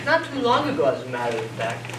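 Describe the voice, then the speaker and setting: Distant echoey theatre recording, woman as haughty insistent gossip, very animated pitch, snippy stage comedy delivery. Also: fast talker, background noise, heavily acted. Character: haughty, competitive dinner guest swapping madhouse anecdotes. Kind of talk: amateur stage comedy acting.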